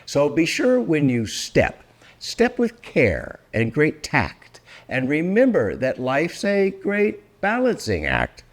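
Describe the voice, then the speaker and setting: An older man reading a rhyming children's book aloud in a theatrical, deliberate way, drawing out words for effect. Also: on the high side, huge pitch swings. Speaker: storybook reader.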